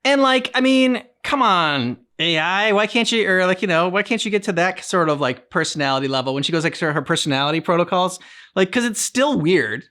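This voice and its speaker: A man hosting a game reaction, chatting casually in a halting, rambling way and often trailing off mid-thought. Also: high-pitched, lively intonation, bright, forceful tone. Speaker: reaction channel host. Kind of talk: casual reaction chat about a video game.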